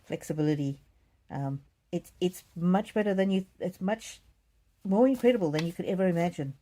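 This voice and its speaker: A woman hosting a live psychic card reading, chatting casually and reading out comments in a gentle, musing, hesitant way with soft repetitions. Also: fairly low pitch, normal speed.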